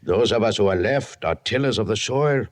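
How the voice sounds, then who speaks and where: An actor playing an earnest settler leader from Europe in an old-time radio drama, with formal, biblical phrasing. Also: male voice, on the low side, moderate pace, close to an everyday voice. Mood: grave, resolute. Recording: noisy old radio recording.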